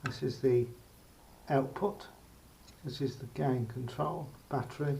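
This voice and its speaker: A man giving a casual, unscripted hands-on demonstration as a hobbyist, speaking haltingly with pauses in mid-phrase. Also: mid-range voice, steady tone, vocal fry, warm tone. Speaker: hobbyist showing a homemade radio aerial project. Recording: faint background noise, amateur camera recording.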